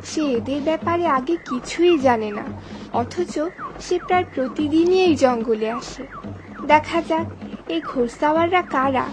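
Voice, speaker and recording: A woman's voice: high-pitched, measured pace, over music. A woman narrating a scripted cartoon story as a storyteller for young children. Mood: suspenseful. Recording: clear background noise behind narration.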